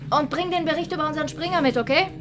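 An actress in a German radio drama playing a tough, persistent police detective, brisk and blunt in her questioning. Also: sits high, natural voice. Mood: urgent, excited.

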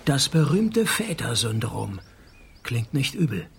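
An actor playing a wry private detective who narrates and talks in a dry, sarcastic deadpan in a radio play. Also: male voice, mid-range voice, slow, vocal fry, a little hiss or hum, heavily acted. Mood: mocking deadpan. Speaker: narrator and lead character.

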